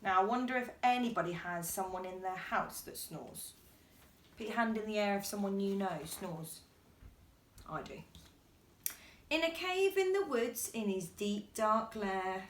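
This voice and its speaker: A woman's voice: mid-range voice, sing-song, measured pace, a little hiss or hum. A woman reading a children's bedtime story aloud expressively, as if speaking directly to young listeners.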